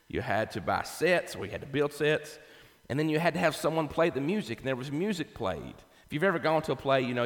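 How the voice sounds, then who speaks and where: A man delivers a sermon in a mid-range voice that rings out with long hall reverb. He explains scripture conversationally and emphatically, often tagging his points with a quick check-in to his listeners. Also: mid-range voice, a bit bright. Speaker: preacher. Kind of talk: conversational sermon with Bible exposition.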